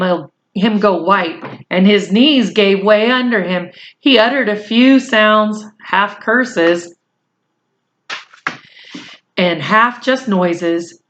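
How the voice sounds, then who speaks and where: A woman reading a storybook aloud as narrator, in measured, deliberate phrases. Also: medium pitch, fairly bright tone, highs cut off, a little hiss or hum.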